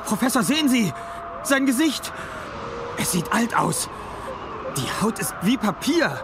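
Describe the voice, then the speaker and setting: An actor playing an agitated young doctor urging on a vampire hunt in a horror radio drama. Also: male voice, very high voice, big pitch swings, measured pace, background noise, full character voice. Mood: alarmed. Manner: urgent, alarmed.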